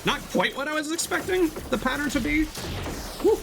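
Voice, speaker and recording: A man's voice: extremely high pitch, expressive, normal speed, creaky, background noise. A man giving casual commentary while live streaming a video game. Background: game music.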